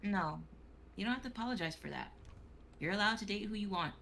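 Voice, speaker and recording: A woman acting a gentle, forgiving old friend in an understated, conversational dramatic reading on a livestream. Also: mid-range voice, lively intonation, fairly quick, gravelly, heavy background noise. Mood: sympathetic.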